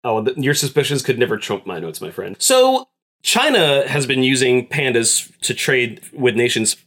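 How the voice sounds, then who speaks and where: A man co-hosting a podcast, presenting facts in casual chat, informally and haltingly with repeated words. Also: medium pitch, sing-song, a bit bright.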